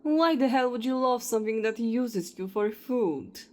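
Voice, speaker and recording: A woman playing a cold, ruthless vampire hunter in an audio roleplay, speaking with deliberate, taunting contempt. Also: a little high, expressive, darkish tone, close to an everyday voice. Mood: scornful disbelief.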